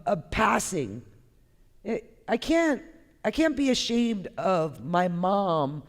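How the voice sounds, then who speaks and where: A woman artist giving a candid, conversational talk, speaking in an informal, emphatic and expressive way. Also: fairly low pitch. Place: indoor gallery talk, reverberant room. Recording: echoey hall with long reverb.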